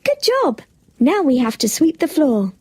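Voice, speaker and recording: A woman's voice: high-pitched, very animated, unhurried. A woman playing a sweet, cheerful young princess in a children's story, politely encouraging the listener. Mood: delighted. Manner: polite, encouraging, gently instructive.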